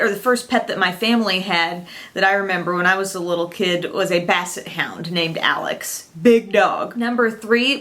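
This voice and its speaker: A young woman talks straight to her camera in a relaxed vlog. She is chatty and upbeat, sprinkling her talk with "um" and "like". Her voice sits at an ordinary middle pitch. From Illinois, US. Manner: chatty, upbeat, with frequent fillers and small laughs. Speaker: beauty vlogger. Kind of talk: casual chat to camera.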